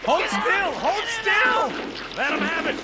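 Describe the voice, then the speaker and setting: A man playing a gruff, tough, bossy Marine sergeant in a video game, talking in commanding military slang. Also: extremely high pitch, sing-song, slow pace, heavily acted. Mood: frantic, shouting. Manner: barking, commanding, salty slang. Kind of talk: video game character acting. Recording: loud battle noise behind.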